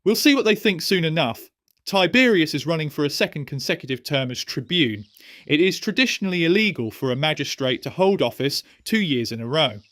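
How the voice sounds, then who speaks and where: A man's voice: fairly high pitch, lively intonation, brisk, fairly bright tone, no room sound, studio quality. An actor in a historical audio drama playing an agitated, argumentative young Roman nobleman who speaks earnestly and urgently. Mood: sceptical.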